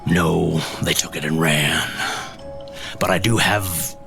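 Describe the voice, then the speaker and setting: A man playing a grateful retired adventurer in a tabletop audio drama, speaking with halting, formal earnestness. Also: low voice, steady tone, gravelly, sharp tone, soft background music, exaggerated voice. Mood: earnest, confessional.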